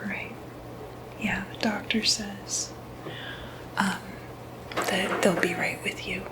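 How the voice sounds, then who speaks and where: A woman speaking in a breathy whisper, softly reassuring and hesitant, in an ASMR medical roleplay as clinic staff. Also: medium pitch, fairly even intonation, takes it slowly, low-quality recording. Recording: noticeable background noise, ambient sound.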